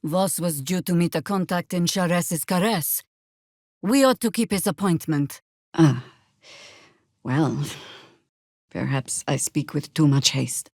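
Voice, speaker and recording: A seasoned female druid warrior in a fantasy game, weary but resolute. She speaks unhurriedly, with long weight on her words, in a lowish register. Her delivery is dry and wry, and the sound is close and studio-dry. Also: darkish tone, no echo, full character voice. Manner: measured, dry, formal phrasing. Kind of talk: fantasy video game voice acting.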